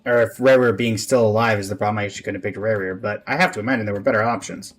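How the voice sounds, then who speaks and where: A man's voice: fairly low pitch, fairly even intonation, rapid-fire, soft background music. A man giving casual gameplay commentary, talking to himself as he plays. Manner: talks to himself while playing. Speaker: game streamer.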